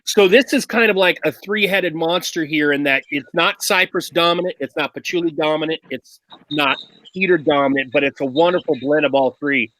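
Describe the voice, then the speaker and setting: A man's voice: fairly high pitch, moderate pace, a bit bright, no echo, low-quality recording. A man hosting a casual review chat on a video call, enthusiastic, drawing out his phrases. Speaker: show host, reviewer.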